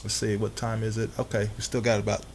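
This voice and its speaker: A man's voice: on the low side, level tone, fast talker, slight background noise. A man hosting a live radio show, upbeat and loose, rolling through the segment with plenty of fillers.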